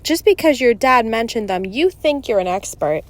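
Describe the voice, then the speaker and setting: Woman playing grumpy schoolboy, storybook character, blunt, griping, challenging, raised pitch. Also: expressive, moderate pace, darkish tone, a little hiss or hum. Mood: scornful. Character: grumpy, challenging schoolboy who gripes and blurts.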